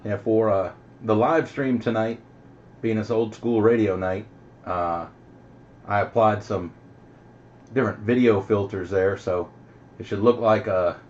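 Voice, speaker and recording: Deep-voiced man on noisy CB. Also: steady tone, a little slow, long pauses, a little mellow. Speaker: CB radio net host. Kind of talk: casual CB radio chat. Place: home radio base station. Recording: dull, noisy CB radio audio.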